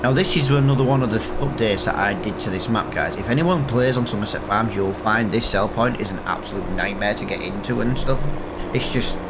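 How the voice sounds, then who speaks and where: A man's voice: lowish voice, a little fast, heavy background noise. A man with a congested, sniffly voice, casually thinking aloud with lots of fillers while playing a farming game. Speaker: gaming channel host. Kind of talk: casual let's-play commentary.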